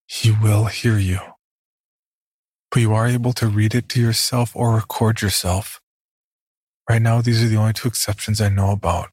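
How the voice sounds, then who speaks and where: A man hosting a horror podcast, reading its notices and then narrating a scary story in a deliberate, ominous way. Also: fairly low pitch, a little mellow, no echo.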